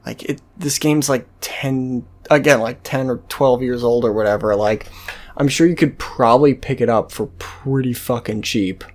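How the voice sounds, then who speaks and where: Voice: mid-range voice, normal speed. A game series host giving casual, sweary let's-play commentary in a rambling, hesitant way.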